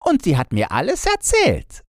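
A man voicing a talkative, earnest crow in a fairy-tale audiobook, telling gossip with drawn-out, deliberate words. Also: high-pitched, wildly swooping pitch, dry recording, studio quality, voice pushed up. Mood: gossipy, confiding. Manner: deliberate, drawn-out, storytelling delivery.

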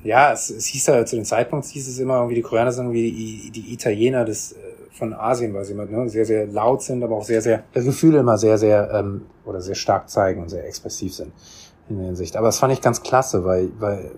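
A man from Germany, a podcast guest, telling his story in a relaxed, reflective way with many restarts and repeated words. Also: on the low side, breathy edge. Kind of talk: casual conversational storytelling.

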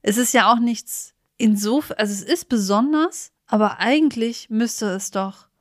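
A woman's voice: on the high side, measured pace, no echo, clean studio sound. A woman co-hosting a podcast in casual, conversational chat. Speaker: podcast co-host.

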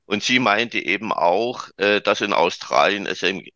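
A man hosts an informative podcast in a chatty way, often adding stories. His voice is a bit low but bright and forceful. The recording is dry and dull, with a lo-fi edge. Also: normal speed, no echo. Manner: chatty, tells anecdotes. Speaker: podcast host. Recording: dull lo-fi sound.

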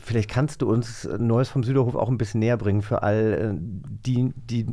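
A man's voice: mid-range voice, fairly even intonation, normal speed, dark tone. Talkative man co-hosting a casual podcast. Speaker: podcast co-host.

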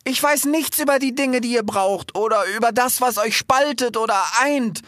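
A man's voice: very high voice, normal speed, a bit bright, dry recording, studio quality, pitched above the performer's own voice. A man voicing a small, earnest, hesitant animal in a storybook, stammering and ending sentences with questioning tags. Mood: apologetic, hesitant.

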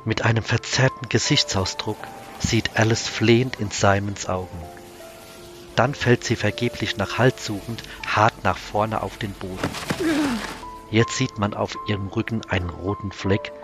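A man's voice: on the low side, steady tone, a little slow. A man reading scripted narration for a science fiction audio drama. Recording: music under the voice.

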